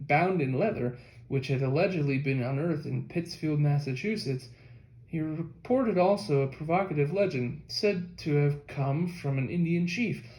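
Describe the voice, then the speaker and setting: A man's voice: a little high, a little mellow. A man reading a nonfiction history book aloud as scripted audiobook narration. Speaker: audiobook reader. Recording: echoey room.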